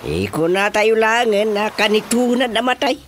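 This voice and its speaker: A man's voice: sits high, normal speed, fairly bright tone, slight background noise. An actor playing a talkative older village man with an Ilocano accent, chuckling as he muses on death and faith in a radio drama. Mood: musing. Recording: music under the voice.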